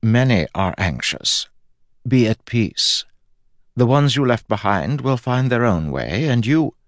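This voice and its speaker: Man voicing a fussy, weary little god, pitched up. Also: sing-song, slow, no room sound, studio quality. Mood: soothing, solemn. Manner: huffy, solemn, soothing. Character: fussy, weary god in small man's form, resigned.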